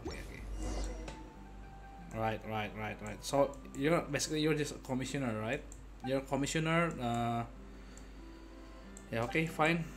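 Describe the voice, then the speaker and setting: Slow-paced, playful man streaming a game. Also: mid-range voice. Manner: playful, muttering with sudden exclamations. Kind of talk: casual live gameplay commentary. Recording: game music faintly behind.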